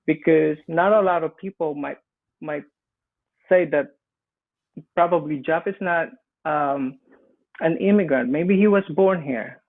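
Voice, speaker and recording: A man's voice: fairly high pitch, gravelly. A man from the Philippines with a Filipino accent, earnestly telling his immigration story on a panel, often restarting his sentences. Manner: earnest, halting with frequent restarts. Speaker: immigrant small business owner. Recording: tinny phone-like line.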